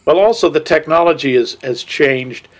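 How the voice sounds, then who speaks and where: A man's voice: fairly high pitch, normal speed. A man co-hosting a casual film review chat, easygoing and wry. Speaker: film podcast co-host.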